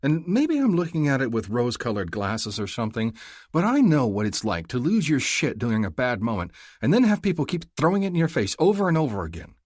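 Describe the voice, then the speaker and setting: A man's voice: medium pitch, huge pitch swings, a little fast, a little mellow, no room sound, studio quality, pitched above the performer's own voice. A man voicing an affectionate young human woman who speaks in an emphatic, rising way. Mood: earnest.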